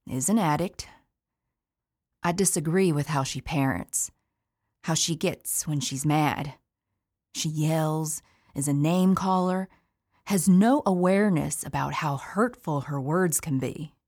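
A woman's voice: low voice, a little mellow. An actress playing an imprisoned mother who worries about her children, weary and resentful in an audio play monologue. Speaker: incarcerated mother.

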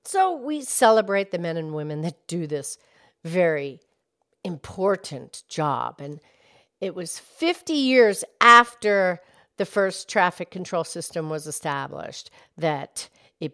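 A woman co-hosting a podcast, explaining things in a measured, matter-of-fact way. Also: fairly low pitch, animated, a bit bright. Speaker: podcast co-host.

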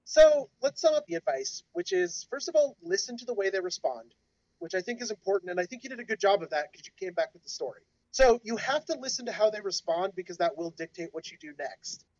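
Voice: mid-range voice, animated, quick pace, a little mellow, dry recording. A podcast co-host in their thirties who talks in a joking, thoughtful way and gives advice. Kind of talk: casual discussion podcast. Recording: dull, dry lo-fi audio.

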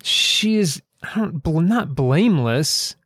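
A man discussing stories thoughtfully as an author and host, speaking haltingly and repeating phrases for emphasis. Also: a little high, expressive, fairly bright tone, dry recording, studio quality.

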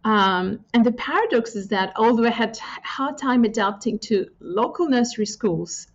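Thoughtful woman, muffled audio. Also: mid-range voice. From Greece. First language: Greek. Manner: thoughtful, with frequent pauses and fillers. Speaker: guest. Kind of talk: reflective interview answers.

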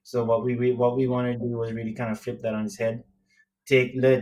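Male podcast co-host, grew up in Sri Lanka, relaxed rambling banter over a remote line. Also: lowish voice, low-quality recording. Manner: laughing, easygoing, rambling. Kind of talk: casual podcast chat over a call. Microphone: remote call.

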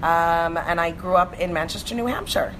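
Middle-aged woman from Jamaica, a low voice, easygoing storyteller, faint ambience. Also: moderate pace, a little hiss or hum, poor audio. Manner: relaxed storytelling, frequent restarts. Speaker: guest. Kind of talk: casual interview chat.

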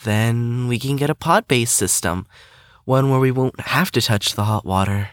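A man playing a tired, practical young patient in an audio drama, measured and reasoning. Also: medium pitch, no room sound, clean studio sound, lightly acted. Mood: matter-of-fact.

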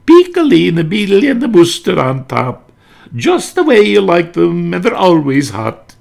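Man doing a cheery radio comedy vendor, sing-song, eager, raised pitch, lively. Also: normal speed, creaky, exaggerated voice. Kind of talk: old radio comedy acting.

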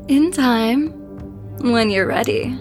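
Woman acting a slow, condescending occult spirit woman. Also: on the high side, animated, a little mellow. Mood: ominous, knowing. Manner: slow, taunting, insinuating. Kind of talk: horror audio drama acting. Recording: voice over prominent background music.

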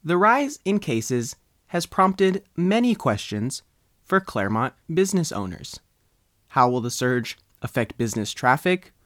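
American man reading news, animated pitch, dry sound. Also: measured pace, no echo. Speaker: newspaper reporter narrating. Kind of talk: scripted news narration, reading aloud.

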